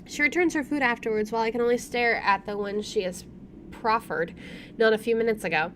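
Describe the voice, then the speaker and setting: A woman streamer reading a visual novel's narration aloud in an expressive, storytelling style. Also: a little high, a little fast. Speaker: let's play streamer. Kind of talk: expressive read-aloud narration of game text. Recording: faint background noise.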